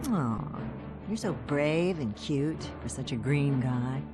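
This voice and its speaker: A woman's voice: a bass voice, expressive, slow, full character voice. A woman voicing a sassy, teasing cartoon heroine with a wry manner. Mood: cooing. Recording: game audio under loud music.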